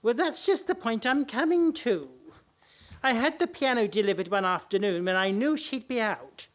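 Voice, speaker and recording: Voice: mid-range voice, animated, normal speed, close to an everyday voice. An actor in a comic radio play playing a genial, timid, henpecked older father-in-law, hesitant and a little fussy, with old-fashioned politeness. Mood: confiding. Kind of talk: stage-comedy radio play acting.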